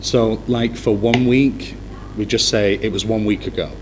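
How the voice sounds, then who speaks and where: A man's voice: fairly low pitch, unhurried. Young man, English teacher, casual live chat, easygoing and wry, faint room noise. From Manchester, England. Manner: relaxed, self-deprecating humor.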